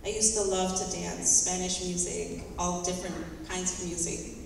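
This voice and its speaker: A woman giving a heartfelt personal testimony in a church, speaking in short phrases and pausing after each one for an interpreter. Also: on the low side, flat delivery, creaky, background noise. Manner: earnest, heartfelt. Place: large church sanctuary. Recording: echoey hall from far off.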